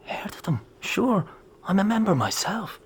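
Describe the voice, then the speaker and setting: A man's voice: sits high, big pitch swings, measured pace, breathy edge, exaggerated voice. An actor playing a bold, hot-headed young Irishman in a radio drama, with an Irish accent and a brash, defiant manner.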